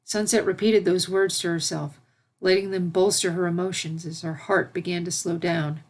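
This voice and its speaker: A woman narrates fiction from a script. Her voice sits a little on the low side, and she reads as a storyteller. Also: fairly even intonation, normal speed. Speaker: fan fiction reader and narrator.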